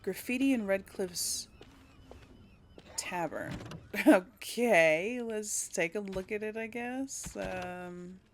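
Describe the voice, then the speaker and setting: Casting: female game streamer, unhurried and hesitant, chatting casually as she plays, faint background noise. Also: mid-range voice. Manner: hesitant, thinking aloud. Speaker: video game streamer role-playing for an audience. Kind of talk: casual game playthrough commentary.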